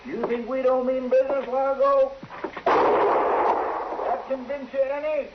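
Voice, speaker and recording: An excitable lawman's helper of the old West blusters in a very high, folksy voice. It sounds like a noisy, dull 1950s radio broadcast. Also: slow pace. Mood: strained bluster. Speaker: radio drama actor. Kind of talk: 1950s western radio acting.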